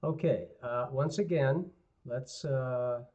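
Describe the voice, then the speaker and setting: Male guitar teacher, hoarse and raspy, unhurried friendly delivery, muffled livestream sound. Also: mid-range voice. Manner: relaxed, rambling, friendly asides to viewers. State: hoarse, clearing throat often. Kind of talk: live online lesson, casual talk. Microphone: computer mic on a live stream. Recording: dull lo-fi livestream audio.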